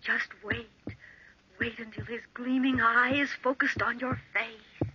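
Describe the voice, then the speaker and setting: An actor playing an eerie inner voice that urges on murder, insistently chanting and parroting, in 1940s radio horror. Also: male voice, very high voice, level tone, measured pace. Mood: urging. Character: eerie high echoing inner voice urging on murder. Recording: muffled, very noisy old radio recording.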